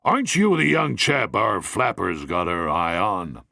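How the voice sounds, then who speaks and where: A man doing a gruff old patriarch. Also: deep voice, huge pitch swings, a little slow, bright, forceful tone, no echo, studio quality, pitched below the performer's own voice, heavily acted. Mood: blustering. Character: bluff older patriarch, blustering and worried.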